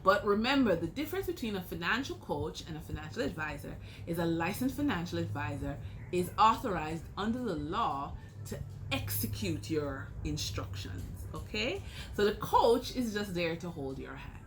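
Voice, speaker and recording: A woman's voice: lowish voice, big pitch swings, normal speed, a bit bright, low-quality recording. Woman coaching over background noise. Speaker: financial coach. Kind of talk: scripted educational advice segment.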